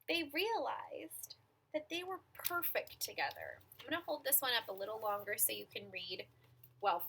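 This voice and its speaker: A woman reading a children's picture book aloud in an expressive, dramatic manner, with asides to young listeners. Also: a little high, breathy edge, poor audio. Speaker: storybook reader.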